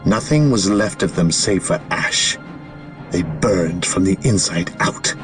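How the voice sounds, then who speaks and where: A man's voice: low voice, slow, over music. A man voicing a grim, dutiful space marine officer in a video game, reporting in a solemn, formal manner. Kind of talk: video game cutscene acting.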